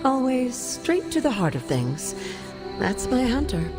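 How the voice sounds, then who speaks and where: A woman playing the serene, playful ghost of a thousand-year-old sorceress in a video game, speaking in a wry, unhurried, affectionate way. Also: a little high, very animated, loud music behind the voice. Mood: wry amusement.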